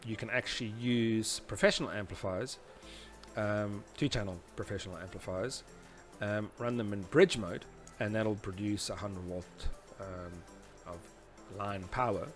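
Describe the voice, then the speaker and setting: A man in his forties giving an informal technical tutorial in a halting, explanatory way. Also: on the low side. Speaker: presenter teaching audio engineering. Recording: music behind the voice. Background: background music.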